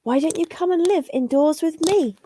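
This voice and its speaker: A woman's voice: extremely high pitch, moderate pace, dark tone, a little hiss or hum, pitched above the performer's own voice. A woman voicing a friendly female kitten in a children's storybook, inviting and helpful.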